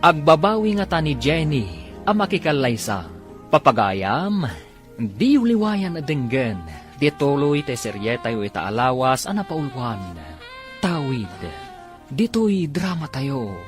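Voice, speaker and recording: Slow, expressive man announcing over music. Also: on the high side. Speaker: radio drama announcer. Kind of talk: scripted radio drama narration. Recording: music under the voice. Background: dramatic music.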